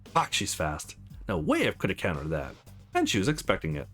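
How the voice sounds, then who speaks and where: Exasperated wisecracking male hero, read by a let's player, sarcastic and casual, big pitch movement, music underneath. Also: huge pitch swings, fairly quick, a bit bright, heavily acted. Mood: frustrated.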